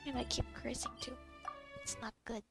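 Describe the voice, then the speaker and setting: Gamer: woman, muttering under her breath, raspy fry, high. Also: steady tone, normal speed. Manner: muttering, half-whispered, swearing, self-annoyed. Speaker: gamer streaming a playthrough. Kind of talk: casual gaming commentary. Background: loud game music.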